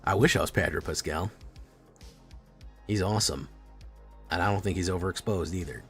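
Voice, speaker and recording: Low-voiced relaxed male game streamer. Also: moderate pace. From Chile. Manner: relaxed, chatty, reacting to chat. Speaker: streamer playing a card game. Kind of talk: casual live-stream commentary. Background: faint music.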